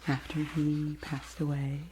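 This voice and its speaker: A woman in her forties, whispering gently and unhurriedly as she hosts a soft-spoken ASMR book review. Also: low voice, completely flat delivery, measured pace, noisy background. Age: 40s. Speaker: ASMR host.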